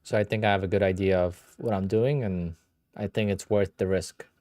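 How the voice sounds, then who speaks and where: A man's voice: low voice, steady tone, moderate pace, a little mellow, no echo, studio quality. A man with a Polish accent, Polish his first language, hosting a casual livestream and answering viewer questions in a blunt, offhand way. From Poland. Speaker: livestream host.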